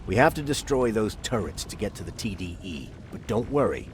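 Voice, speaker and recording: An actor playing a grave, resolute military commander in a video game, speaking in a deliberate, earnest, authoritative way. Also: male voice, on the low side, big pitch swings, slight background noise. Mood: commanding. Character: grave, resolute male resistance commander briefing soldiers. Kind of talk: dramatic cutscene dialogue.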